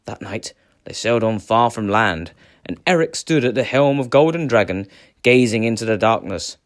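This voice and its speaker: A man reading a children's adventure tale aloud as a storyteller, with dramatic, measured phrasing. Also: fairly low pitch, expressive. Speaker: storyteller, teacher.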